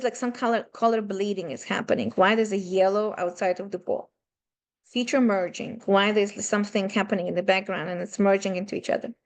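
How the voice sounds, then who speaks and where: Woman with a Russian-Ukrainian accent teaching. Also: medium pitch. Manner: conversational, asks rhetorical questions, non-native phrasing. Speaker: math teacher. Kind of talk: online seminar lecture. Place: home room with a running aquarium.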